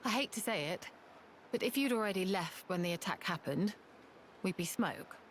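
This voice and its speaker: A woman's voice: mid-range voice, animated, normal speed, a little hiss or hum, lightly acted. A woman playing a tough, blunt, confident female fighter in a video game. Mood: grim, frank.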